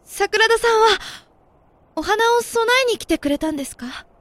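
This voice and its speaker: A woman's voice: very high-pitched, animated, moderate pace, fairly bright tone, no echo. A woman playing an earnest, inquisitive teenage girl with a youthful, sincere way of talking in an audio drama. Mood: puzzled, questioning.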